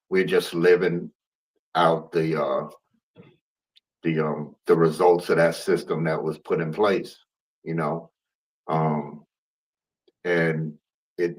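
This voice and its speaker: A man's voice: low voice, poor audio. A man from the Bahamas, a visual artist speaking as a guest on a video call panel, hesitant and full of fillers. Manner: hesitant, filler-heavy, repeats words. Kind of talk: casual panel discussion.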